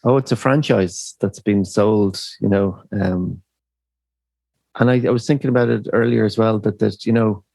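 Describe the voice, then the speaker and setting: Low-voiced Irish man, halting and thoughtful. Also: fairly even intonation, creaky, a little mellow, no echo. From Ireland. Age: middle-aged. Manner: thoughtful, halting, many fillers and restarts. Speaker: musician guest. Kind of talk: reflective podcast interview.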